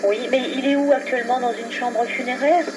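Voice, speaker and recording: Woman, customer service operator, slightly high voice. Matter-of-fact, explanatory phone manner, heard through a poor, noisy line. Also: steady tone, brisk. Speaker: funeral helpline operator. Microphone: telephone line. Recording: noisy low-quality telephone recording from 2001.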